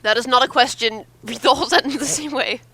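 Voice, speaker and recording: A woman's voice: sits high, fast, a crowd in the background. A woman chatting casually and teasingly as a co-reader of a story. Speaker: co-reader and commentator.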